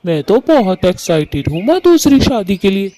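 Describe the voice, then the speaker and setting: Guy doing a bossy cartoon bird husband, harsh and scolding, kinda high but mellow. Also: big pitch swings, moderate pace, slight background noise. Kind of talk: Hindi cartoon voice acting.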